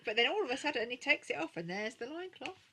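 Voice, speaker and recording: A woman with a strikingly bright, sharp voice joins a casual podcast as a guest. She banters with an incredulous, exasperated edge. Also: high voice, big pitch swings, fast, a little hiss or hum.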